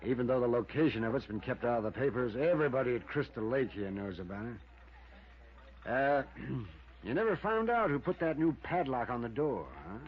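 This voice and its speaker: A man's voice: medium pitch, lively intonation, normal speed, close to an everyday voice. An American man playing an easygoing small-town cabin owner in a 1950s radio drama, talking in a folksy, offhand way. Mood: casual, affable. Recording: muffled old radio recording.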